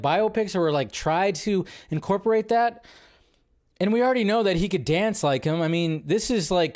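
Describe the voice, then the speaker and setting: A man hosting a casual live commentary show, excitable and chatty, with frequent fillers, restarts and mild swearing. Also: sits high. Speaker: show host.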